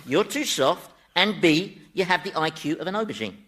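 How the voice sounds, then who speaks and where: An actor playing a chatty, exasperated devil who runs hell, wry and dismissive, in a radio comedy. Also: male voice, high voice, lively intonation, normal speed, very noisy. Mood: bossy. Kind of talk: broadcast radio comedy acting.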